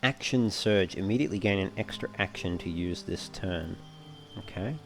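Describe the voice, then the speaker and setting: A man giving casual let's play commentary, thinking aloud in a measured, understated way and often reading game text out. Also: sits low, gravelly, slight background noise. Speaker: gamer narrating a playthrough.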